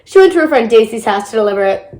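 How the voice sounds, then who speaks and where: A woman's voice: a little high, lively intonation, quick pace, a little mellow, reverberant. A female storybook reader narrating a children's picture book aloud. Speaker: storybook reader, channel host.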